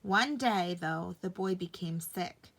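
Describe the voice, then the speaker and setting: A woman narrating a bedtime story for children in an expressive storytelling style. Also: on the low side, slow pace, rough recording.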